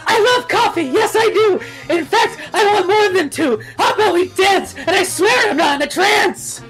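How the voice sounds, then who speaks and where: A man's voice: extremely high pitch, animated, moderate pace, a bit bright, soft background music, voice pushed up, full character voice. A man voicing a brainy female cartoon unicorn gone manic, ranting and shrieking with slurred, tumbling words. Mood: manic raving.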